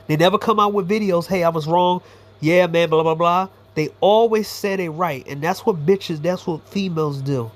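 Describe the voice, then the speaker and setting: A man's voice: high-pitched, moderate pace. A man giving a blunt, profane, rambling commentary monologue as a YouTuber.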